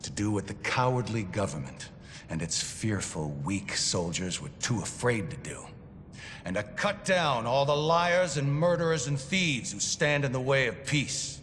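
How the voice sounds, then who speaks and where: Stern militia commander, booming reverb. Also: male voice, very animated, unhurried, a bit bright. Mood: fierce resolve. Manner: stern, oratorical, grave. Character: zealous, authoritarian male militia commander addressing his soldiers. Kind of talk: dramatic military speech. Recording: long hall reverb, faint rumbling noise.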